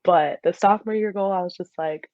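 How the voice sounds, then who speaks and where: A woman's voice: on the low side, brisk, no room sound, narrow, tinny audio. A woman in her twenties with an American accent, chatting informally as an interview guest and retelling things in a giggly, casual way. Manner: giggly, informal, animated retelling with quoted asides. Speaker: interview guest, former college soccer player.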